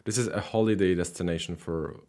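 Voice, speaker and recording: A man's voice: low voice, brisk. A man hosting a live language call-in stream, chatting casually with callers with lots of fillers and repeats. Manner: relaxed, many fillers and repeated words.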